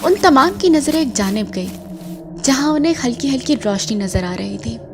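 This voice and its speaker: A woman's voice: high-pitched, lively intonation, normal speed, noisy background. A woman narrating a scripted horror story in a suspenseful, dramatic manner.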